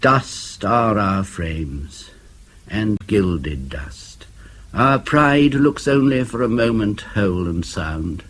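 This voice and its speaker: Slow, grave male poetry reader. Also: on the low side, animated, a bit bright, slight background noise. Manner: measured, grave. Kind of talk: solemn poetry recitation.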